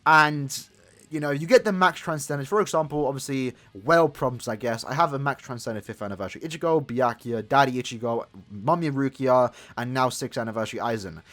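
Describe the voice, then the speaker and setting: Fast-talking man in his twenties. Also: medium pitch, fairly bright tone. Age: 20s. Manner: chatty and rambling, addresses viewers as lads. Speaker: gaming YouTuber. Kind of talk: casual gameplay commentary.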